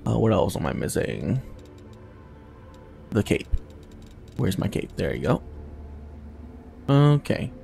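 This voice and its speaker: A man's voice: on the low side, big pitch swings, slow, gravelly, long pauses, dark tone, faint music behind. A man casually commenting on his video game run, with chatty and exasperated asides. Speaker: gaming channel host.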